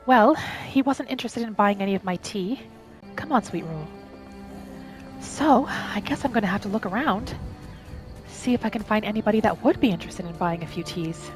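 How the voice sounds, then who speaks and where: Gently musing woman over music. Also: medium pitch, animated, normal speed, long pauses. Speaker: roleplay gaming host. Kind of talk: in-character storytelling game narration. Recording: music behind the voice. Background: background music.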